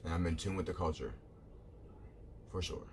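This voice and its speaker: A man's voice: low-pitched, normal speed, background noise. A man live streaming, chatting casually with viewers in a rambling way, repeating himself and calling them "bro".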